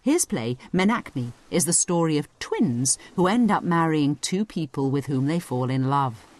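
A woman's voice: deep voice, animated, moderate pace, a little mellow. A woman narrating a scripted educational audio guide, explaining things conversationally as she addresses the listener directly.

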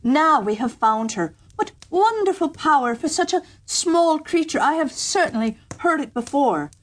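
A woman voicing a foolish, excitable young male courtier in a storybook reading, gushing in her delivery. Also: high voice, moderate pace, pitched above the performer's own voice, low-quality recording.

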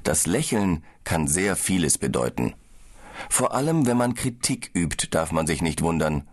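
Low male, calm and explanatory, scripted audiobook dialogue. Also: unhurried, breathy edge. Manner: calm, explaining, slightly tired. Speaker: business traveller in a language-course dialogue.

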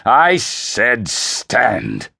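Domineering imperial father for a fantasy story, played by a male narrator: gravelly, scornful, slow and heavy. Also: mid-range voice, wildly swooping pitch, fairly bright tone, no room sound, studio quality, voice pushed up, exaggerated voice. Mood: thundering rage. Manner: scornful, commanding, snorting disdain. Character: towering thunderous emperor, a contemptuous domineering father. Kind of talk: dramatic fantasy audiobook character voice.

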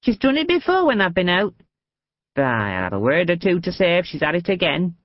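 A woman's voice: fairly low pitch, very animated, a little fast, no echo, dull audio. A woman doing a frail, cheeky old lady. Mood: chatty. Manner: gossipy, cheeky, confiding. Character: frail chatty old northern woman, mischievous.

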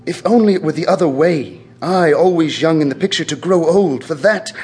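A man's voice: fairly high pitch, normal speed, a little mellow, husky, faint music behind. An actor playing a beautiful, vain young man in a radio drama, earnest and emotional. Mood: anguished.

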